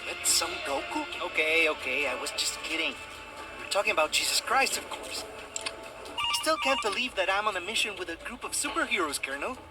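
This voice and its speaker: A young American wannabe superhero for a video game. He is cocky and jokey, with a high voice that swings widely in pitch. Loud music plays over him. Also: big pitch swings, a little fast. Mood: eager. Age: young adult. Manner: cocky, joking, foul-mouthed. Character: wisecracking, starry-eyed young male amateur masked superhero. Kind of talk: video game dialogue and first-person narration.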